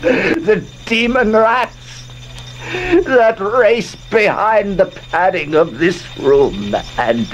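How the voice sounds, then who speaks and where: An actor playing a haunted, unhinged gentleman narrator in a horror audio drama, with a slow, theatrical, tormented delivery. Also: sits high, expressive, lo-fi sound, a little hiss or hum, full character voice. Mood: desperate pleading.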